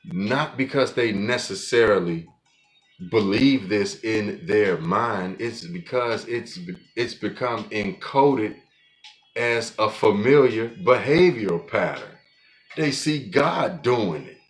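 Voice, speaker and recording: Deep slow-talking blunt man in his forties. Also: lively intonation, faint music behind. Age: 40s. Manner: blunt, profane, rambling with frequent restarts. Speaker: podcast host. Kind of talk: casual monologue.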